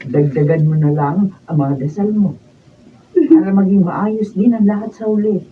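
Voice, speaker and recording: A woman's voice: mid-range voice, normal speed, distant mic, lightly acted. An actress playing a stern older mother or aunt in a Filipino radio drama, giving emphatic counsel. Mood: calm, consoling. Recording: dull off-mic sound, faint noise.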